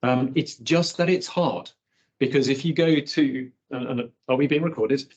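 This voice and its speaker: A man's voice: mid-range voice, moderate pace, darkish tone, highs cut off. A man answering on a panel discussion, thinking aloud and repeating words as he builds each point.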